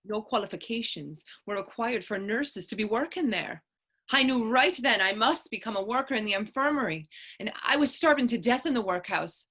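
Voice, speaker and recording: A woman's voice: fairly high pitch, animated, moderate pace, sharp tone, breathy edge, exaggerated voice. A woman playing a tough, proud teenage Irish orphan turned actress in a readers theatre drama, telling her story in lilting old-fashioned idiom with an Irish accent. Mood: proud, earnest. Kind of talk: stage readers theatre acting in dialect.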